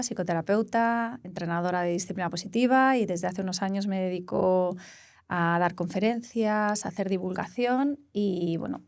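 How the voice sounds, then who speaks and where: A woman in her forties from Castilla-La Mancha, a psychologist speaking in a relaxed interview, explaining with examples. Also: mid-range voice, dry recording. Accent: Spanish from Castilla-La Mancha. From Spain. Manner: reflective, explains with examples and rephrases. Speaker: psychologist guest. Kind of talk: conversational interview.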